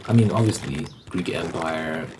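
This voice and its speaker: A man hosting a rambling, opinionated ASMR monologue, haltingly repeating phrases for emphasis. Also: low voice, background noise. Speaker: ASMR host.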